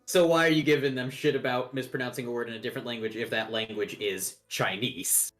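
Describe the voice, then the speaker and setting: Male stream guest, medium pitch, emphatic casual talk, faint music behind. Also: fairly even intonation, fast, a bit bright. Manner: emphatic, opinionated. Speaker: guest on a group livestream. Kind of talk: casual chat.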